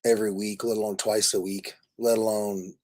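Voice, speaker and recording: A man with a raspy voice worn from a lot of talking, chatting casually and effusively with live viewers as host, in a jokey, rambling way. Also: lowish voice, level tone, normal speed, a little mellow. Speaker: livestream host.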